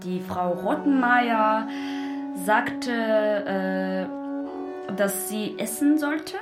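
Woman, very slow hesitant retelling, echoey room, loud plucked guitar. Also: mid-range voice. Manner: halting, self-correcting. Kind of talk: spoken retelling of a film. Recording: roomy sound under loud guitar music.

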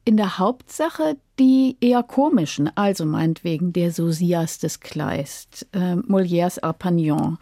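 A woman's voice: fairly low pitch, unhurried, hardly any pauses, dark tone, dry recording, clean studio sound. A woman interviewing a guest, putting composed, considered questions in a conversational way.

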